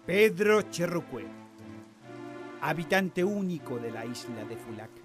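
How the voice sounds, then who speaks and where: An actor playing a courteous Spanish naval explorer of centuries past in a radio drama, with a formal, declamatory delivery. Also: male voice, a little high, lightly acted. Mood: solemn, proclaiming.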